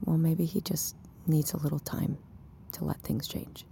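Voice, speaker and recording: A woman's voice: low-pitched, level tone, moderate pace, gravelly, dark tone, a little hiss or hum. An actress playing a blunt, wry but caring woman in an audio drama, talking in a naturalistic, teasing way. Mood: gentle, frank.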